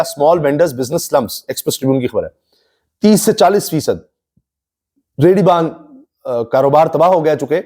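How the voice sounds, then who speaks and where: Mellow-voiced Pakistani man giving news commentary. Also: a little high. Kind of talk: political commentary monologue to camera.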